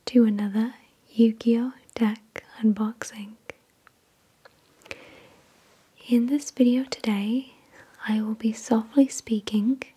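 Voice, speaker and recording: A woman whispers in a very breathy, airy voice with almost no tone in it. She takes her time, placing each phrase slowly and carefully. The delivery is soothing ASMR, soft and mellow, like someone reading aloud to help a listener drift off. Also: fairly high pitch. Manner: slow, careful, soothing enunciation. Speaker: ASMR video host. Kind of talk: soft-spoken ASMR card unboxing, reading descriptions.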